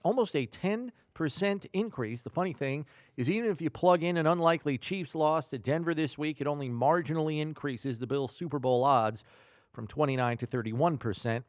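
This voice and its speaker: A man with an American accent hosting a football podcast, talking through statistics in a measured, analytical, conversational way. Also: on the high side, dry recording, studio quality. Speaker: sports podcast host.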